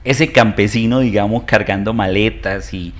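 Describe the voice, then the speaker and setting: Low-voiced Colombian man in his fifties. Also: moderate pace. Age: 50s. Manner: hesitant, many fillers, storytelling. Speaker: guest, entrepreneur. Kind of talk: interview.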